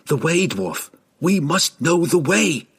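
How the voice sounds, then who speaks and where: A man voicing an intense, protective dark elf ranger in a fantasy audiobook, insistent and coaxing. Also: lively intonation, unhurried, slightly rough, full character voice. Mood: urgent. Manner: low, insistent, coaxing delivery.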